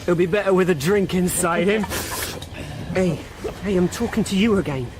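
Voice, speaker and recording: An actor playing a drunken football hooligan in a radio drama, loud, mocking and confrontational. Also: male voice, sits high, normal speed, background noise, close to an everyday voice. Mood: taunting, rowdy. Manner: taunting, repetitive, confrontational questioning.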